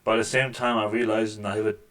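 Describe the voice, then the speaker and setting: A man with a deep voice talks through a video game as he plays it. He keeps up a casual, wry running commentary into a desk microphone at home, cracking dry jokes about what he sees on screen. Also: flat delivery, very fast, fairly bright tone. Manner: wry, joking asides over gameplay. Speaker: gaming channel host. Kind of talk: casual let's-play commentary. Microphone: desk microphone with mixer.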